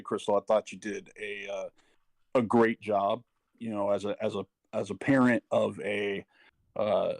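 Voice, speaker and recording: A middle-aged man speaking personally and earnestly, haltingly searching for his words. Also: on the low side, gravelly, no room sound. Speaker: parent speaking as a panel guest. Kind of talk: personal testimony in casual talk.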